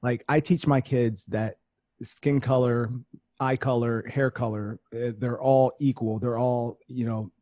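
A man speaking as a guest in a casual conversation, explaining his views in a measured, earnest and deliberate way. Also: medium pitch, steady tone, warm tone, no room sound, studio quality.

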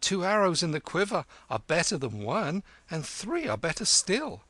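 A man voicing a cunning young street boy who flatters and wheedles. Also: high-pitched, normal speed, no room sound, pitched above the performer's own voice, full character voice. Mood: wheedling.

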